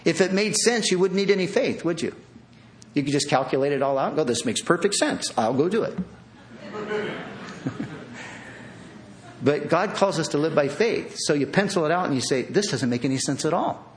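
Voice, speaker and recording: Man preaching, earnest, pleading, repeats himself, slightly high voice. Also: lively intonation, normal speed, long pauses, slight background noise. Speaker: pastor. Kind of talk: sermon. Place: church hall with an audience.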